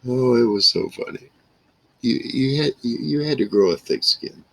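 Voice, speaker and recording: A man in his sixties with a gravelly, husky voice, telling rambling, salty stories in a casual monologue to camera. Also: medium pitch, moderate pace. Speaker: vlogger. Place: small wood-paneled room indoors.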